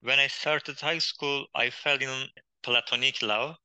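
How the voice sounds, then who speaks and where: A man from Turkey's far east, with as his in English, answering interview questions in a halting way with many pauses and fillers. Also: mid-range voice, steady tone, sharp tone, smooth, no room sound. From Hakkari region of Turkey, Kurdish. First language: Kurdish. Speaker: guest, poet and journalist. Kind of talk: interview conversation.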